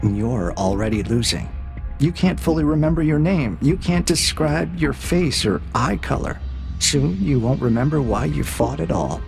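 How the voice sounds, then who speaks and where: An actor playing a cold, calculating interrogator AI in an audio drama, with a measured, probing and quietly menacing manner. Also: male voice, fairly high pitch, lively intonation, vocal fry, faint music behind. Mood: cold, undermining. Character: cold, calculating male interrogator AI breaking a prisoner. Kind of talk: scripted audio drama acting.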